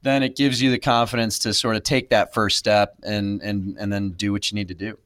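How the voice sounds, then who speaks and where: A man's voice: lowish voice, little pitch movement, brisk, a bit bright, no room sound, clean studio sound. A man in his thirties, a podcast guest, speaking reflectively with many fillers and restarts. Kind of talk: casual interview.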